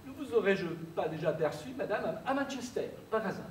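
A man acts out a starchy, overpolite gentleman who makes ceremony of every phrase. His pitch rises and dips in lively swings. He is heard from across an echoey theatre, with a faint hum behind him. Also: brisk. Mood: mannered politeness. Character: stiff, overly formal English gentleman. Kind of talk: absurdist stage acting. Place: theatre stage, seated in armchair. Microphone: far room mic. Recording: echoey stage from far off.

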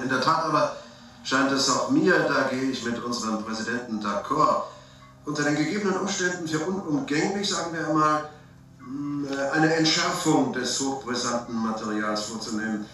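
An actor playing a smooth, evasive senator chairing a secret commission in measured, pompous officialese in a radio drama. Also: male voice, medium pitch, bright, forceful tone, natural voice. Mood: smooth, persuasive. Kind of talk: German science fiction radio drama acting. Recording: roomy, distant binaural recording over music.

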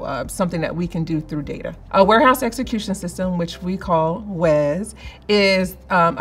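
A middle-aged woman in a corporate interview, explaining in a measured way with some fillers. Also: on the low side, slight background noise. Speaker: company representative. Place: office interview set, indoors.

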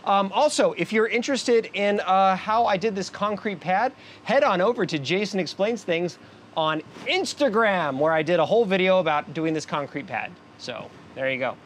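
A man's voice: sits high, expressive, moderate pace, slight background noise. A man in his thirties hosting a casual how-to video, chatty and joking, filmed in a garage and outside a house. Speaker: DIY video host. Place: garage and outdoors beside a house.

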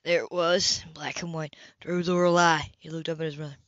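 A woman's voice: low voice, little pitch movement, fairly quick, dry recording. A woman reading a novel aloud as amateur audiobook narration. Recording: dull lo-fi home recording.

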